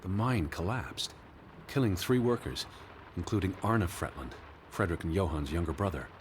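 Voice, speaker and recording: A low-pitched, earnest gentleman of the 1920s. He is bookish and anxious, choosing his words formally and precisely. Steady noise sits behind his voice. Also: moderate pace. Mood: sober, informative. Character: weary, anxious, bookish middle-aged gentleman searching for his sister. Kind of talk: 1920s period drama video game acting.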